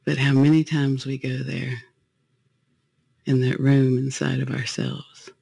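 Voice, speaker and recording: A man leading a guided meditation as a spiritual talk, phrasing gently and unhurriedly with pauses. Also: mid-range voice, completely flat delivery, gravelly, no room sound.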